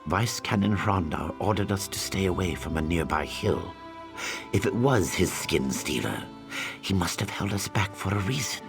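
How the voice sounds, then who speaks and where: A man voicing a cocky but earnest and loyal lizardfolk scout and tracker in a video game, with a gravelly voice. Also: low voice, animated, moderate pace. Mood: resolute. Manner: tags sentences with a questioning "yes?". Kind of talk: video game character dialogue. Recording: game music under the voice.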